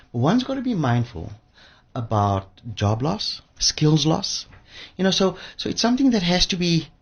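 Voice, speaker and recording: A man's voice: on the high side, a little mellow, slightly rough, highs cut off. A man speaking as an expert guest in a conversational radio interview, emphatic and repeating words for stress. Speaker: studio guest, pension fund expert.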